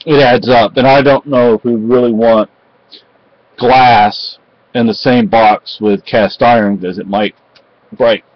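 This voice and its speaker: A middle-aged man from Spain talks casually into a webcam in a cluttered room at home. His voice sits mid-range, and he rambles with pauses and restarts. The audio is dull and lo-fi. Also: mid-range voice, steady tone, no room sound. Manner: rambling, halting, many fillers and restarts. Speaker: vlogger. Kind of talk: casual home vlog chat. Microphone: webcam at close range.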